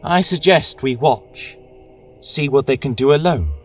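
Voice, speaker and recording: A man voicing a serene female angel and warrior queen in a fantasy audio tale, soft, reverent and stately. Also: mid-range voice, animated, unhurried, faint music behind, voice pushed up. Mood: grateful, calm.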